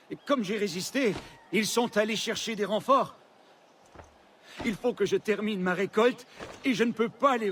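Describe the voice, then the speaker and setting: An actor playing a harassed, aggrieved farmer pleading for help in a video game. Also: male voice, high voice, a bit bright, slight background noise.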